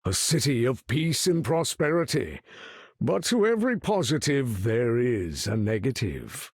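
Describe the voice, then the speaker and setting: A commanding supervillain for a video game. The voice is husky and deliberate, swinging widely in pitch with proud, theatrical menace. It is a dry, close studio sound. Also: male voice, sing-song, no echo, exaggerated voice. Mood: solemn, measured. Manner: grandiose, measured, theatrical delivery. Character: proud, menacing mutant leader, commanding supervillain with grand bearing. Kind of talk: video game character voice lines.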